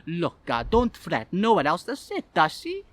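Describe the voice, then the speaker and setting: A man's voice: high-pitched, wildly swooping pitch, a little hiss or hum, pitched above the performer's own voice, exaggerated voice. Man doing a bossy Italian head waiter. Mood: exasperated. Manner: halting, scolding, patronising.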